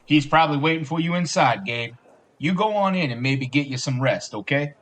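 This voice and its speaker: A man's voice: fairly high pitch, animated, fairly quick, fairly bright tone. An actor playing a blunt but caring, fatherly police sergeant in an audio drama, folksy in his talk. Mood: calm, steering. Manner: folksy, blunt, calls younger men kid and bub.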